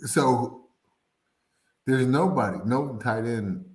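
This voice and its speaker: A man's voice: medium pitch, slow. A man around forty chatting casually as a sports show panelist, blunt and slangy. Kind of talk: casual chat.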